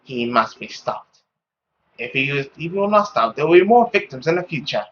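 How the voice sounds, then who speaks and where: A man voicing a stern, possessive middle-aged mother in a dramatic, deliberate, scolding manner. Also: mid-range voice, animated, a bit bright, highs cut off, slight background noise, lightly acted. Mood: grim.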